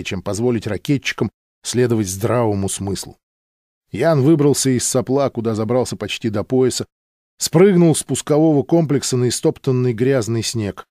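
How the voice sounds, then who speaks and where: Male narrator for literary audiobook, mid-pitched voice, dry studio-tight sound. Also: mellow, no room sound. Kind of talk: scripted dramatic literary narration.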